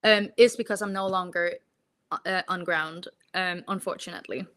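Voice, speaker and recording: Low-pitched woman from Denmark, casual interview guest. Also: steady tone, moderate pace, fairly bright tone. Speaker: interview guest, former volunteer medic. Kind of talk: casual, reflective interview talk.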